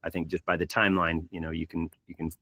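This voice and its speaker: A man's voice: deep voice, level tone, fast talker, sharp tone, dry recording, dull audio. A man from the United States moderating a panel, talking conversationally and asking questions with plenty of fillers. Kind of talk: panel discussion.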